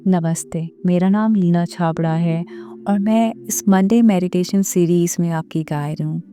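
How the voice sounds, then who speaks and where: A woman leading a guided meditation in a gentle, measured, soothing manner, with pauses between phrases. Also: fairly low pitch. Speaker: meditation guide and series host. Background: background music.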